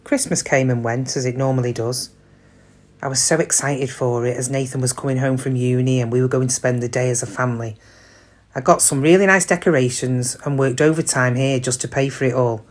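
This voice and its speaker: A woman's voice: rumbling low, steady tone, a little fast. A middle-aged woman playing a downtrodden shop cashier in a reflective, confiding, plain-spoken dramatic monologue about her regrets. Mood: wistful, sad. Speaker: actor in a monologue. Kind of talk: first-person dramatic monologue.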